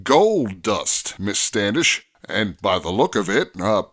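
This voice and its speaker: A man's voice: fairly low pitch, very animated, takes it slowly, fairly bright tone, heavily acted. A man playing an upstanding, genial frontier police sergeant, formal and earnest, in old-time radio drama style.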